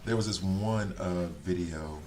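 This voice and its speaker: Guy with a really deep voice rambling on a game stream, reading chat, faint hiss behind. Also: unhurried, darkish tone. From Switzerland. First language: not German. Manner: rambling, chatty, reads chat aloud. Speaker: gaming streamer. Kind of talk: casual live-stream chat.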